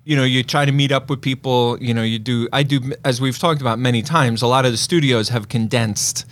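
A man's voice: mid-range voice, steady tone, fairly quick, a bit bright. A man co-hosting a podcast in casual chat, with a rambling, conversational way of talking. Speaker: podcast co-host.

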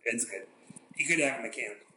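A man from the Southeast United States with a nasal voice, co-hosting a casual sports podcast and rambling through jokes with halting restarts. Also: mid-range voice, lively intonation, background noise. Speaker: podcast co-host.

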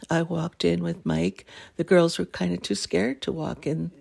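A middle-aged woman from Canada, hosting a podcast and telling a family story in casual chat, clearing her throat as she loses her voice. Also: fairly low pitch, fairly even intonation, unhurried, a little mellow. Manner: laughing, self-deprecating jokes. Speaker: podcast host.

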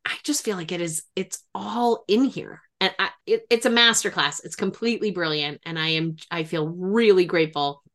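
A woman's voice: mid-range voice, a bit bright. A woman hosting a podcast in a casual conversational interview, speaking in an effusive, emphatic way. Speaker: podcast host and grief expert.

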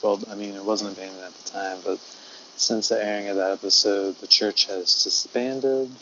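A man playing a deadpan male ghost-tour guide who talks low and quietly, rambling with lots of fillers. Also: moderate pace, lo-fi sound, slight background noise.

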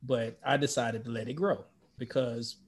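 Man, 50s, casual chat, earnest storyteller, mid pitch. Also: fairly even intonation, moderate pace. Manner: earnest, storytelling, self-correcting.

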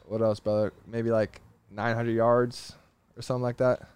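A man's voice: medium pitch, level tone, normal speed, a little mellow, smooth, dry recording. A man in his twenties casually bantering as a podcast co-host, teasing and slangy. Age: 20s. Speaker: podcast co-host, college football player. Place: inside a truck.

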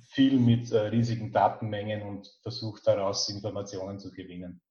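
Even-toned Austrian man, echoey sound. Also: fairly low pitch, brisk. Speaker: podcast guest. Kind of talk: casual interview about his life. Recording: dull, echoey remote-call sound.

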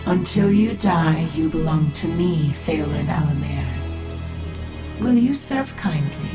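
A woman voicing a calm, confident tech-priestess who leads a cult. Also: sits low, slow, echoey room, music in the background. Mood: imperious. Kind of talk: fan audio drama acting.

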